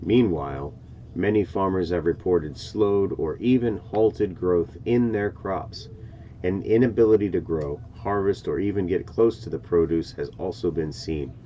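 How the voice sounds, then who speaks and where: Low-voiced male, casual reaction host who stumbles as he goes, some background noise. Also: a little slow, dark tone, highs cut off. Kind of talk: casual reaction commentary, reading on-screen news text aloud.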